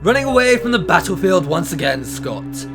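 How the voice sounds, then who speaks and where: A man's voice: high voice, big pitch swings, moderate pace, vocal fry, over music, heavily acted. A man playing a haughty, dismissive army officer in a First World War audio drama. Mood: scornful. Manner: pompous, theatrical. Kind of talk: period audio drama acting.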